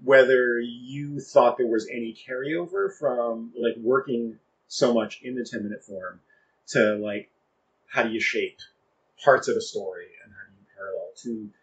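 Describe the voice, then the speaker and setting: A man's voice: medium pitch, moderate pace. A man interviewing someone in casual chat, thoughtful and discursive as he works toward his points. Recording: dull lo-fi sound.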